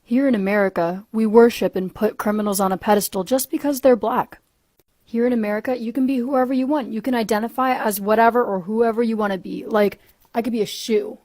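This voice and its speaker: A woman's voice: on the high side, steady tone, a little fast, a little mellow, no echo. Sarcastic woman ranting, dry close sound. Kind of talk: opinion rant to camera. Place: United States.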